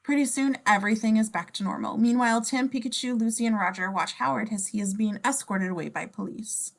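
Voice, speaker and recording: A woman's voice: fairly high pitch, brisk. A woman narrating scene descriptions from a script at a table reading. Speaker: stage directions narrator.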